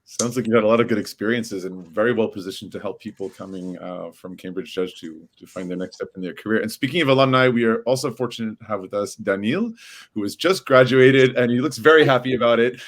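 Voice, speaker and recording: A man hosting an online panel discussion in a relaxed, chatty way, with some fillers, on a video call. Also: fairly low pitch, quick pace. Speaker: podcast host. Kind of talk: casual panel moderation.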